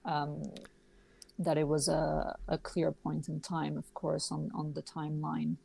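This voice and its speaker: A woman from Switzerland, a podcast guest explaining her views in a measured way with many fillers. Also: low-pitched, completely flat delivery, vocal fry, darkish tone, husky. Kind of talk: podcast interview.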